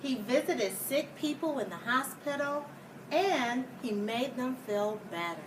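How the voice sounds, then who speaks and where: A woman reading a children's picture book aloud like a schoolteacher, deliberately, with explanatory asides for young listeners. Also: sits high, lively intonation. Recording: distant mic, clear background noise.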